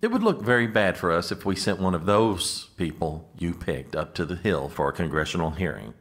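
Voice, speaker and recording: Man playing a deep-voiced American political aide. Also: big pitch swings, brisk. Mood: patiently explaining. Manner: formal, patient, managing. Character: exasperated, officious male presidential chief aide. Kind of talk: comedic audio drama acting.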